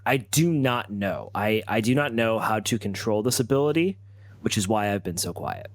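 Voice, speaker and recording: Low-voiced man telling a story haltingly. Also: expressive, dry recording, studio quality. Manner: halting, often repeating words. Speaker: tabletop role-player. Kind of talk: improvised in-character storytelling in first person.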